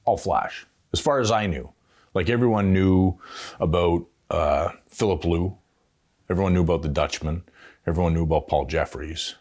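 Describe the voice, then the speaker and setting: A Canadian man in his fifties telling stories casually as an interview guest, in a halting, thoughtful way. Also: low-pitched, darkish tone. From Ontario, Canada. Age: 50s. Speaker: veteran tattoo artist, interview guest. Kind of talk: casual reminiscing, storytelling.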